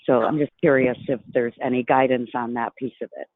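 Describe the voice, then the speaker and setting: A man's voice: medium pitch, steady tone, fairly quick, no room sound. A man conversationally putting a question as a board chair in an online meeting. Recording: dry, thin phone-like call audio.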